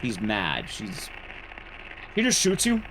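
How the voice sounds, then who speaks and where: Animated man doing casual game commentary. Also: fairly low pitch, slow, background noise. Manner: rambling, explanatory, playful. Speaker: speedrunner, streamer. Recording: game music behind the voice.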